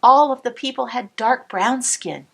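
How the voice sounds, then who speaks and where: A woman cantor reading a picture book aloud to children, pausing to explain the pictures, sometimes dropping to a whisper. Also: a little high, vocal fry, husky. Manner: slow, expressive storybook reading with soft whispered asides. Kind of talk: read-aloud storytime with asides to kids.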